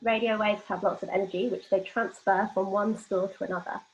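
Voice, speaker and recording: A woman's voice: medium pitch, fairly even intonation, a little fast, a little hiss or hum. A woman presenting an explanatory workshop lecture, talking informally and illustrating points with everyday examples. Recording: dull, lo-fi band-limited audio.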